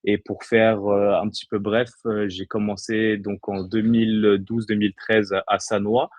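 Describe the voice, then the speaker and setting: A man in his twenties from the Paris region talks casually as an interview guest. His voice is on the low side. The sound is dry, with hardly any room in it. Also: a little mellow, no echo. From Paris region, France. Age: 20s. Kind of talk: casual chat.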